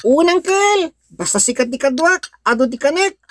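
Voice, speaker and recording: Woman voicing a high-pitched sweet Ilocano little boy. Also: expressive, normal speed, a bit bright, no echo, studio quality. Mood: sweet, chatty. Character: sweet, eager little boy who misses his father. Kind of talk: Ilocano audio drama acting. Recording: clinking dishes and background noise.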